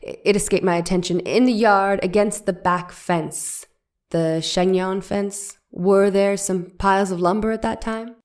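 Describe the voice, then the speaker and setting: A woman's voice: medium pitch, steady tone, unhurried, a little mellow, close to an everyday voice. Woman playing a probing 1890s courtroom attorney. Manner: pressing, leading questions. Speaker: cross-examining lawyer. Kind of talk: dramatic reading of a trial transcript.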